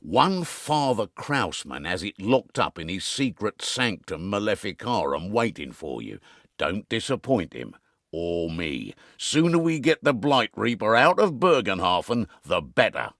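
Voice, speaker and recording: A man playing a gruff, wry mercenary quartermaster in a video game, briefing his fighters with earthy, commanding storytelling and dark humour. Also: lowish voice, no room sound, studio quality, full character voice. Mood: grim, brisk.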